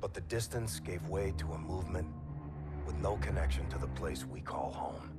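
A very low, solemn man's voice, an actor's, narrates like a military briefing on a ruthless enemy. The delivery is measured and ominous, set under loud cinematic game music. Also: close to an everyday voice. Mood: grave, ominous.

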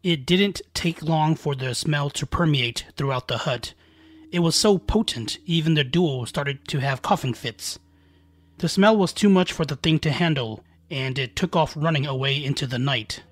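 A man's voice: a little high, moderate pace, no echo. A man narrating a scripted scary folktale as a storyteller, with dramatic, suspenseful delivery. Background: background music.

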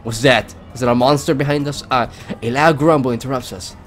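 A man's voice: mid-range voice, a little fast. A man hosting a let's play, reading game text aloud with casual commentary. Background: game music.

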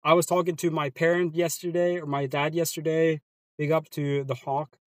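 A man's voice: a little high, level tone, moderate pace, no room sound. Laid-back Swedish guy streaming from his car. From Sweden. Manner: laid-back, slangy, trails off into mumbles. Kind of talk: casual live stream chat reading viewer comments. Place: inside a car.

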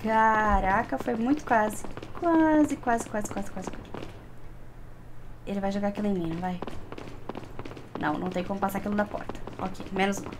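A young Brazilian woman giving casual, chatty gameplay commentary, often repeating words in quick bursts. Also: medium pitch, background noise. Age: young adult. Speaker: gaming YouTuber. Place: indoor room, seated at computer. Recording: game music behind voice. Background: loud game music and effects.

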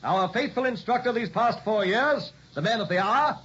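An actor playing a jaunty, brash young medical student with a hearty, chummy manner in 1960s radio drama style. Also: male voice, high-pitched, animated, moderate pace, slightly rough, full character voice. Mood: brash, showy. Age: young adult. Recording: muffled old radio recording with loud noise.

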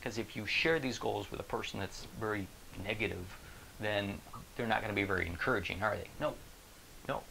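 A middle-aged American man speaks like a keynote motivator, casual and emphatic, tossing out questions to the listener. His voice has a gravelly creak, with a faint bed of room noise behind it. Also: on the low side, brisk, low-quality recording. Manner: animated, conversational, emphatic, rhetorical questions. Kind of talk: casual motivational video talk to camera. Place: small indoor room. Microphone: lapel.

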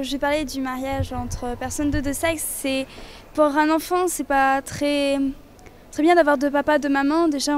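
A woman's voice: sits high, a little hiss or hum. A teenage schoolgirl answering interview questions on a handheld mic outdoors at school, hesitant and thinking aloud. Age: teens.

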